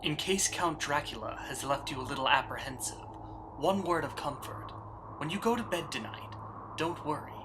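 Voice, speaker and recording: A man playing a wryly calm announcer giving a reassuring radio play epilogue. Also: a little high, moderate pace, natural voice. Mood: mock reassuring. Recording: clearly noisy, ambient background.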